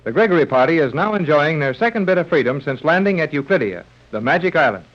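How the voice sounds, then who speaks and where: Old time radio announcer guy narrating a serial, highish voice, muffled recording. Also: animated, fast, fairly bright tone. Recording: dull vintage radio recording.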